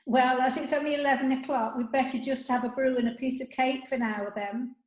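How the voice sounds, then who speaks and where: A woman's voice: high voice, steady tone, a little fast, lightly acted. A woman playing a chatty, matter-of-fact older friend in an amateur comedy sketch. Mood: practical. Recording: echoey room.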